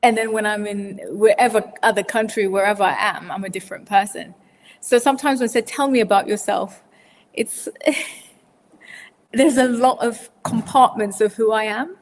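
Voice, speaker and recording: A woman's voice: medium pitch, normal speed, big-room sound. A woman in her forties from Zimbabwe, a podcast guest answering questions in a loose, hesitant way with lots of fillers and restarts. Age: 40s. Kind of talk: casual interview answers.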